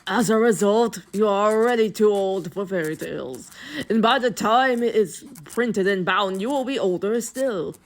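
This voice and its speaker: A woman narrating a book in a deliberate, expressive, dramatic storytelling style. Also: medium pitch. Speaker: narrator and host of a reading series.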